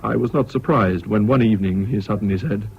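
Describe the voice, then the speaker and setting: An actor narrating a British radio drama in the first person as a reflective, dryly ironic Englishman with a measured manner. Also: fairly low pitch, gravelly. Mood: wry, reflective. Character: wry, reflective male friend narrating the story. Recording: dull, hissy old radio recording.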